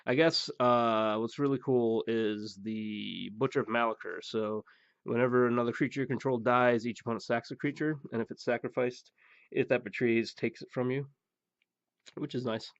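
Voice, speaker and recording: A man hosting a pack-opening show, rambling through the cards with many fillers and blunt remarks, his voice going hoarse. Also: medium pitch, fairly even intonation, moderate pace, no echo. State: losing his voice. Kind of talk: casual card-opening commentary. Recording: dull, dry recording.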